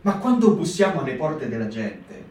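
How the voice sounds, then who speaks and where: A man voicing a partisan calmly recounting the fugitives met in the countryside. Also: medium pitch, moderate pace, a little hiss or hum, natural voice. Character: male partisan describing fugitives in hiding. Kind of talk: stage reading for radio. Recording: echoey room, distant mic.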